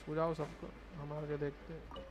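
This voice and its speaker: A man's voice: a little high, monotone, rapid-fire, over loud music. A man with an Indian accent giving casual live commentary as he streams a game.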